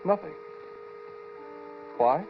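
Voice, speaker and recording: An actor playing a haunted scientist narrating a 1940s radio drama in a deliberate, pausing way, on an old recording. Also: male voice, a little high, animated, gravelly, phone-quality sound, slight background noise, full character voice. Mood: tense, determined. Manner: hushed deliberate storytelling with dramatic pauses. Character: haunted male scientist recounting a terrifying experiment.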